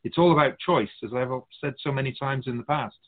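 A man playing a wise, curious otherworldly spirit guide in a radio drama, musing formally with gentle wry humour. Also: medium pitch, a little fast, lightly acted. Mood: earnest, instructive. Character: Keeper of Souls Purpose, wise curious otherworldly being. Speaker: spirit guide being interviewed. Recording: muffled phone-like narrow band.

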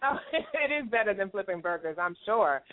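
A woman's voice: medium pitch, animated, moderate pace. Bubbly woman radio host, tinny sound. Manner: bubbly, laughs easily, asks long questions. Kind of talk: radio interview. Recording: tinny phone-like line.

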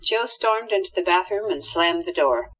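Female drama narrator: lowish, husky voice, scripted storytelling, slightly muffled audio. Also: animated, normal speed, a bit bright. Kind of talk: scripted radio play narration.

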